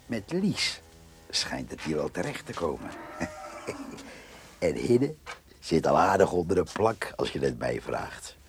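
A man playing a gruff, rustic farmhand in a radio drama, muttering and rambling to himself. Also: deep voice, big pitch swings, a little slow, long pauses, soft background music, heavily acted. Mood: musing, amused.

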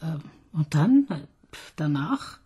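A woman's voice: sits low, very animated, very slow, mellow, slightly rough, exaggerated voice. An actress playing a skeptical female police detective, questioning in disbelief. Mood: incredulous. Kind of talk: radio drama acting.

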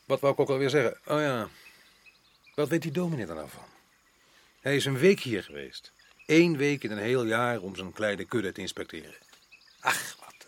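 An actor playing a stubborn, self-assured colonial official in a radio play, with a dismissive, opinionated manner. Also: male voice, medium pitch, big pitch swings, moderate pace, long pauses. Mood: argumentative, scornful. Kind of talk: radio drama acting in Dutch. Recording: noisy old radio play recording.

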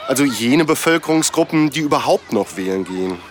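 An actor playing a sober, matter-of-fact election analyst, explaining things in a radio play. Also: male voice, mid-range voice, lively intonation, brisk, close to an everyday voice. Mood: dry, explanatory. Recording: clearly noisy outdoor ambience.

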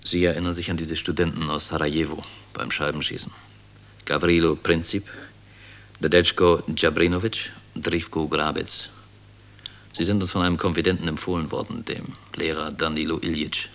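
An actor playing a wry, guarded army major in a German radio drama, speaking with patronizing irony. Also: male voice, extremely low pitch, little pitch movement, normal speed, a bit bright. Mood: measured. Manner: sardonic, condescending, chuckling at the young.

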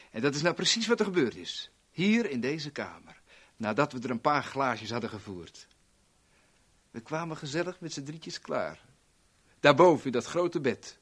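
Sadistic cocky crook, husky, wildly varied intonation, gloating, vintage radio drama. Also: male voice, mid-range voice, big pitch swings, fairly bright tone, full character voice. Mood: gloating, taunting. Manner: taunting, theatrical, drawn-out phrasing. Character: cocky, sadistic male villain, insolent and gloating. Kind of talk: 1970s Dutch radio drama acting.

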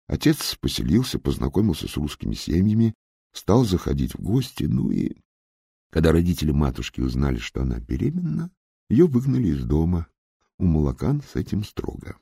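Man voicing frank young storyteller, husky and lively. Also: low voice, dry recording, studio quality, heavily acted. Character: young Russian-American man, frankly recounting his hard family past. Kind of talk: audiobook character narration.

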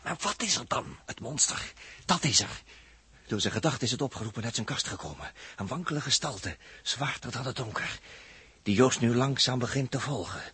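An actor playing a grim, uneasy onlooker in a 1960s radio drama, terse and ominous, with a husky voice. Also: male voice, mid-range voice, very animated, normal speed, bright, forceful tone, no room sound, full character voice.

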